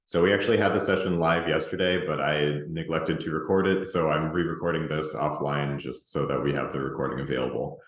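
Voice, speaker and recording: Very low male voice, relaxed webinar presenter, roomy echo. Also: a little fast, few pauses. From Russia, grew up in the US. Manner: relaxed, conversational, many ums and restarts. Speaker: presenter and group organizer.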